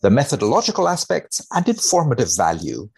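A man's voice: medium pitch, wildly swooping pitch, brisk, vocal fry, darkish tone, slightly rough. A man speaking as a researcher guest, reading studies aloud and commenting with dry mock seriousness.

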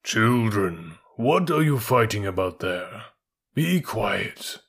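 A man voicing a big male elephant in a storybook reading, superior and weary, with a gurgly, rumbling voice. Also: animated, takes it slowly, vocal fry, a bit bright, breathy edge, full character voice. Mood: reproving.

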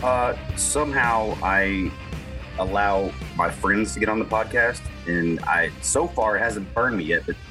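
A man's voice: lowish voice, measured pace, over music. A man co-hosting a podcast in casual chat, telling rambling stories and chuckling as he goes. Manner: rambling storyteller, chuckles mid-sentence. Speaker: podcast co-host.

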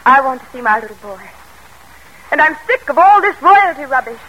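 A woman's voice: high voice, big pitch swings, a little fast, a bit bright, lo-fi sound. An actress playing a headstrong, devoted daughter and young mother in old-time radio drama, emphatic and dramatic. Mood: impassioned. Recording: noisy vintage radio recording.

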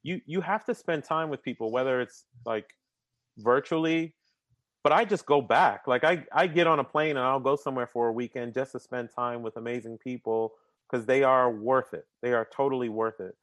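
A man's voice: mid-range voice, moderate pace, dry recording. Talkative man with a dry close sound. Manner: energetic, talkative, repeats words when starting sentences; uses casual slang like "man" and "bruh". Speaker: podcast guest. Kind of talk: casual interview conversation.

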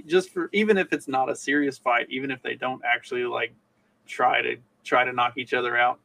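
A man's voice: medium pitch, vocal fry, sharp tone, low-quality recording. A man co-hosting a podcast, talking through the news casually and wryly, often repeating phrases for emphasis. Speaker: podcast co-host.

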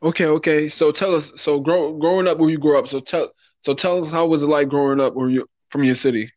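Guy interviewing on a podcast, talks real fast, casual, dry sound no room. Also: a little high, steady tone, darkish tone, dry recording. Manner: informal, repeats stock phrases.

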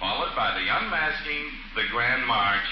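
An actor playing a formal master of ceremonies, declaiming ceremoniously in 1940s radio comedy style. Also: male voice, mid-range voice, animated, a little slow, close to an everyday voice. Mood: officious. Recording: echoey hall, muffled noisy old radio recording.

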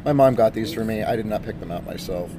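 A man co-hosting a casual podcast, animated and jokey, his voice raspy today. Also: lowish voice, fast. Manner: animated, jokey, interrupts often. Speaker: podcast co-host. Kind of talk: casual joking chat. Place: outdoors on a high spot. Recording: outdoor podcast with traffic noise.

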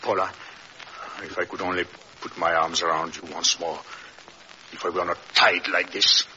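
A deep, forceful villainous husband in classic radio drama. He is intense, insistent and manipulative. The sound is a dull, hissy old broadcast. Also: little pitch movement, normal speed. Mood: pleading, seductive.

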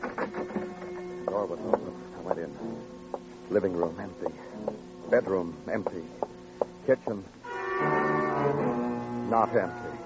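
An actor playing a world-weary police detective, speaking in clipped sentences in an old radio crime drama. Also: male voice, low-pitched, slow, long pauses. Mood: brooding narration. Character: low-key, world-weary police detective who narrates his cases. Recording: dull old radio recording, music behind.

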